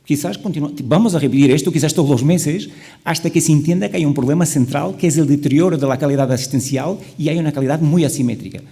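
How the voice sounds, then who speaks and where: A Portuguese man speaking Spanish with a Portuguese accent as a panelist, halting with many restarts. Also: on the high side, gravelly, mellow. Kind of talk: panel discussion.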